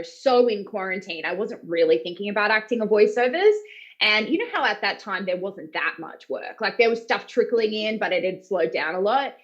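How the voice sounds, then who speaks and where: A woman's voice: mid-range voice, highs cut off. An Australian woman, a voice actor, talking in a casual, informal, rambling way. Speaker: voice actor being interviewed. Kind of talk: casual chat.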